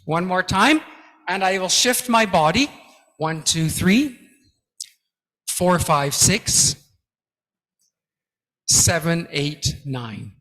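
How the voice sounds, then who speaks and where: Male guest lecturer, high-pitched and unhurried, emphatic and encouraging, echoing hall. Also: expressive, pushed and bright. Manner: emphatic, encouraging, counts aloud in drills. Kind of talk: interactive presentation-skills lecture. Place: large lecture hall with about two hundred people. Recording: echoey hall reverb.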